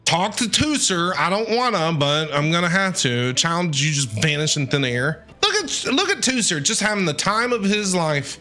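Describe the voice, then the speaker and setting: A man's voice: sits high, sing-song, moderate pace, few pauses, sharp tone. A man doing live reaction commentary on a game, talking back to the characters in a playfully dramatic way. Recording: game music behind.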